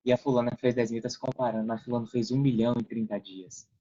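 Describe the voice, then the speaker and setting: A man's voice: medium pitch, fairly even intonation, quick pace, warm tone, highs cut off. A man with a Brazilian accent explaining things casually as a podcast guest, acting out hypothetical quotes as he goes.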